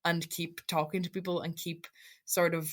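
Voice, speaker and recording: A young woman from the Donegal Gaeltacht, a native Irish speaker with a Donegal Irish accent, giving earnest, halting interview answers full of restarts. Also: on the low side, little pitch movement, a little hiss or hum. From Ireland, Donegal Gaeltacht. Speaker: guest.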